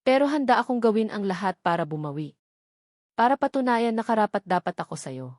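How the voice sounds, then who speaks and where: A woman's voice: medium pitch, sing-song, a little fast, darkish tone, no echo, clean studio sound, lightly acted. A woman with a Filipino accent voicing an earnest, repentant young father in a narrated love story. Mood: earnest, remorseful.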